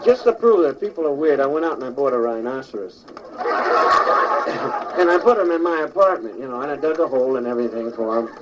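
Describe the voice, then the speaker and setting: A man's voice: mid-range voice, lively intonation, a little fast, dull audio. A man doing a casual, conversational stand-up comedy routine on a noisy old recording. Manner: casual, conversational, acts out bits.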